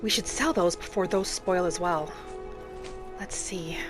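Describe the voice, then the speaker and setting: A woman narrating a video game roleplay in character, speaking in polite, gently formal phrasing. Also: on the low side, measured pace. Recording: background music behind the voice.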